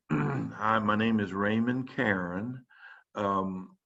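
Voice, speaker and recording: A man's voice: fairly low pitch, very slow, creaky, pushed and bright. A man, giving an informal lecture to students as a physician, rambling with many fillers and restarts. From South Carolina. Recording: muffled, dull online lecture audio.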